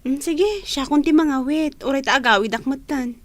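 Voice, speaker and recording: An actress plays a meek, obliging schoolgirl in a radio drama. She has an Ilocano accent and a high voice, recorded close and dry. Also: moderate pace, dry recording, close to an everyday voice.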